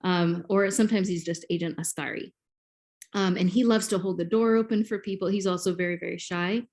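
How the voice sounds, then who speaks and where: A woman's voice: on the low side, fairly even intonation, brisk. Kenyan woman, native swahili speaker, 40s, teacher-ish podcast guest, lots of ums. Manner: explanatory, warm classroom-teacher delivery with frequent ums. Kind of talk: podcast interview.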